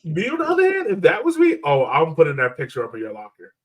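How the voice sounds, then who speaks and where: A man's voice: on the high side, wildly swooping pitch, fast. A man hosting a casual soccer podcast in a playful, joking way, a little distant from the microphone. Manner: playful, laughs often. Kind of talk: casual chat, joking sports talk.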